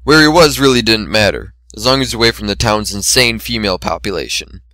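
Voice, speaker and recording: A man's voice: on the low side, brisk, bright, forceful tone, dry recording. A man reading a story aloud as scripted narration, in a dramatic style. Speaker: amateur reader of fan fiction.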